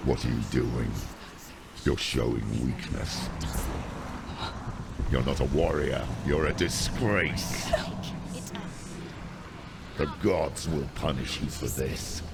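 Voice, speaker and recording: Cavernous, gravelly demon of darkness. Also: male voice, very deep voice, heavy background noise, full character voice. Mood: furious, commanding. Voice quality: gravelly, growling. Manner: slow, contemptuous, commanding. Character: menacing demonic darkness, a cruel deep male voice. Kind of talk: dramatic video game voice acting.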